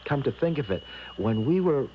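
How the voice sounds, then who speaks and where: A man's voice: mid-range voice, big pitch swings, normal speed, natural voice. An actor playing a timid, naive young man in a 1970s radio drama, hesitant and stammering with anxiety. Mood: anxious. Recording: dull, noisy 1970s radio recording.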